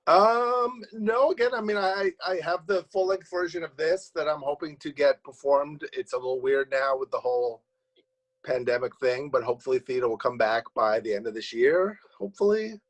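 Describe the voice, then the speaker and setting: A man's voice: a little high, poor audio. A man answering interview questions as a playwright on a video call, casually rambling and often restarting his phrases. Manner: rambling, halting with repeated starts.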